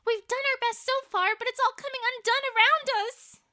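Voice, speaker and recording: A woman's voice: very high-pitched, fairly quick, bright, forceful tone. A woman voicing an anxious, bookish young female pony in a dramatised story, with a stammering, dramatic manner. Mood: panicked, livid. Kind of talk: dramatised fan fiction reading.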